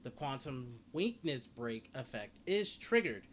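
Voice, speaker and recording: A man's voice: medium pitch, big pitch swings, a little slow. A man giving a casual game character guide, rambling and often repeating and rephrasing himself. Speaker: gaming video host. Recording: clearly noisy home recording.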